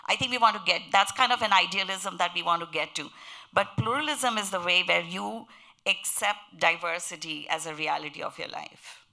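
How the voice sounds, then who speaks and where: Woman, Indian accent, lowish bright voice, deliberate panel speaker. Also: vocal fry. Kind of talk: panel discussion. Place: conference panel before an audience.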